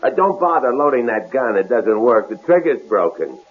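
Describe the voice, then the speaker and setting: A man's voice: mid-range voice, big pitch swings, moderate pace, natural voice. A smug captor played by a man teases with folksy menace, his mid-range voice swinging widely up and down. It is old radio drama, narrow-band with hiss. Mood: smug, taunting. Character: taunting, casually menacing male captor.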